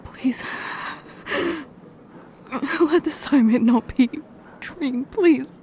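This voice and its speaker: A distraught young fiancée, played by a woman in an audio roleplay, her high voice shaky and tearful. She stammers and repeats herself, the words coming out in halting bursts. A faint hum of background noise sits under the voice. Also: mellow, full character voice. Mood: pleading. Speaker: ASMR roleplay performer.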